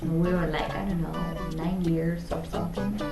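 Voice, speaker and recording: Female vlogger, 20s, Argentine Spanish accent, lowish pitch, halting casual chat, roomy sound with guitar music. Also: level tone, creaky. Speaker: vlog host.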